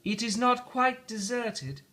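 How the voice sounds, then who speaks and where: A high, unearthly spirit of Christmases gone by speaks with gentle solemnity. The words are slow and stretched, ringing out brightly above a normal speaking voice. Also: male voice, animated, full character voice. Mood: sorrowful. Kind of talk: dramatic storybook read-aloud.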